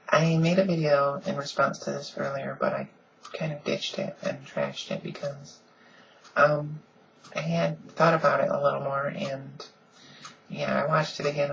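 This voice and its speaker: A woman with a deep voice she softens a little, talking earnestly and casually in a home vlog with lots of ums. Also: creaky, lo-fi sound, background noise. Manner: frequent "um"s, earnest and conversational. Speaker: vlogger. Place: at home, in pajamas.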